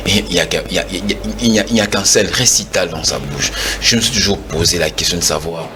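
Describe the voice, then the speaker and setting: A man's voice: sits low, fairly quick, background noise. A man in his forties with a Congolese French accent, arguing heatedly as a guest in a televised political debate, repeating words as he presses his point. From Kinshasa, DR Congo.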